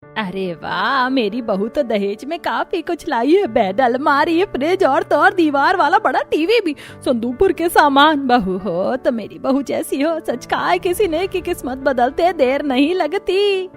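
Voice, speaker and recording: Woman acting a bossy, greedy older mother-in-law, high-pitched. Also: expressive, a little slow, faint music behind. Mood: gloating. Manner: scolding, gloating, emphatic. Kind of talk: Hindi cartoon story voice acting.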